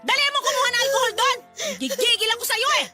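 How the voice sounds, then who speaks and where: A woman's voice: very high voice, steady tone, fast, loud music behind the voice. A woman playing a cruel, hot-tempered wronged wife in a Filipino radio drama, scolding with sarcasm and insults. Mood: furious.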